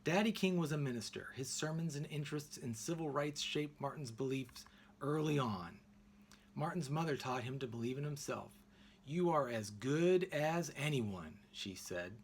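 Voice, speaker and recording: A man reads a children's book aloud with a lively, swooping delivery. His voice sits at a middle pitch and he leaves emphatic pauses between phrases. A little background noise sits under the recording. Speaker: read-aloud narrator. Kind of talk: expressive children's picture book read-aloud.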